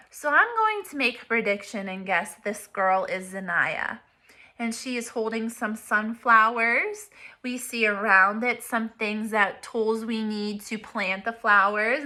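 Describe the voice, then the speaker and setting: Female primary teacher for picture-book read-aloud: crisp bright tone, unhurried pace, simple explanations for little ones. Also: mid-range voice. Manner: simple, deliberate explaining to young children. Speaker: elementary teacher reading aloud.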